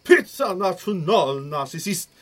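Guy doing a swoony over-the-top troubadour prisoner, high voice that swings all over, half singing his sighs. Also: expressive. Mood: mournful, theatrical. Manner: theatrical, sighing and half-singing. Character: eccentric lovesick male troubadour prisoner, dramatic. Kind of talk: audio drama acting.

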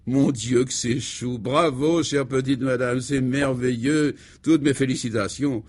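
A man voicing a condescending high-society woman, affected and patronising, in a comic radio serial. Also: fairly even intonation, a little slow, lightly acted. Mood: gushing.

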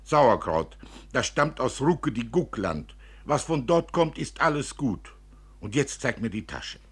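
A man's voice: mid-range voice, sing-song, unhurried, breathy edge, pitched above the performer's own voice. A man voicing a proud, naive young girl in a storybook reading, speaking emphatically like a know-it-all. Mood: proud, assertive.

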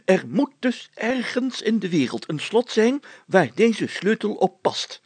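Man acting out a pompous mayor, storybook figure, fussy, excitable, spluttering, high-pitched for a man, very animated. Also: measured pace, husky, dry recording, heavily acted. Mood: stern, excited. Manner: spluttering, hesitant, exclamatory, stumbling while deciphering.

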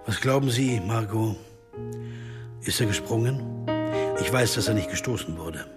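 An actor playing a brooding, driven jazz pianist turned amateur sleuth in a German radio drama. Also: male voice, on the low side, expressive, a little slow, over loud music. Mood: serious, quiet. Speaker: lead actor and narrator. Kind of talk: German radio drama acting with first-person narration.

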